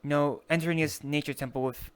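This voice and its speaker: A man's voice: fairly high pitch, fairly even intonation, fast. A man talking hesitantly and pondering as he improvises in character in an online roleplay. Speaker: player in a virtual roleplay. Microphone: VR voice chat microphone. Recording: noisy online voice chat.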